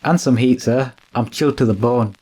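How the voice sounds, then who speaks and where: A man's voice: mid-range voice, moderate pace, mellow, breathy edge, close to an everyday voice. A man playing a nervous, deferential manservant in a radio drama, with a fretful, complaining way of talking. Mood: weary, complaining. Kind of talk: classic radio drama acting.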